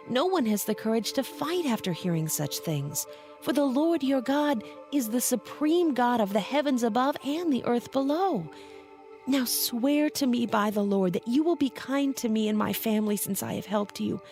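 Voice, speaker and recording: A woman's voice: fairly high pitch, animated, normal speed, a little mellow, faint music behind. A woman playing Rahab, an anxious, pleading woman of ancient Jericho, in a dramatized audio Bible. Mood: fearful, pleading.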